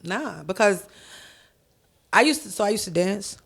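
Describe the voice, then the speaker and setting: A young adult woman from Haiti, a guest on a podcast, telling stories in an animated, profane way over a headset mic in a dark studio. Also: mid-range voice, unhurried, gravelly, breathy edge. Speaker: guest, hip-hop artist. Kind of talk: casual podcast interview. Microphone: headset with boom mic.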